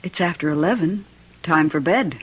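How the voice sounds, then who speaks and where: An actress playing an anxious, devoted wife in an old radio drama, measured and earnest. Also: female voice, on the low side, highs cut off, a little hiss or hum, close to an everyday voice. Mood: concerned. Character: anxious, devoted wife confronting her husband.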